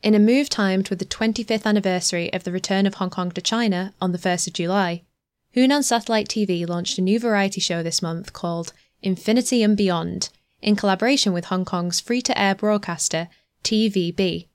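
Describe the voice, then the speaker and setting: A woman reading a scripted news feature in an even, measured way into a close studio mic. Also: mid-range voice, clean tone, dry recording. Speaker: news reader.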